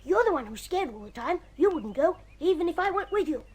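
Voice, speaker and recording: Young boy, very high voice, animated, cocky, taunting, radio drama. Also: fairly quick. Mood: boastful. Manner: boastful, taunting. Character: cocky, scornful young boy who collects stones.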